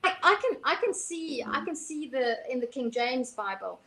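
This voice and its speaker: A woman's voice: high voice, very animated, normal speed, sharp tone, rough recording. Woman, thoughtful and hesitant. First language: Afrikaans. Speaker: host. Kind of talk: casual conversation.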